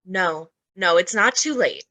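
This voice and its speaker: Woman playing a bright-voiced, earnest lady lawyer. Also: on the low side, animated, unhurried. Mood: urgent imploring. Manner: earnest, imploring. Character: kind, earnest female defense lawyer, urgently pleading. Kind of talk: old-time radio drama acting.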